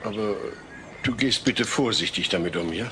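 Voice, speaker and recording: A man's voice: fairly low pitch, expressive, moderate pace, fairly bright tone. An actor playing a patient, middle-aged family father in a comedic radio play, polite and dryly ironic. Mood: polite, wary. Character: dry, patient, long-suffering suburban family father. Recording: noisy old cassette transfer.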